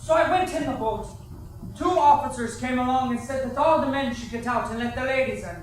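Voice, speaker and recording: A man's voice: very high-pitched, brisk. A man on stage playing a young steerage survivor, giving an earnest monologue. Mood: distressed. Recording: very echoey distant stage, faint noise.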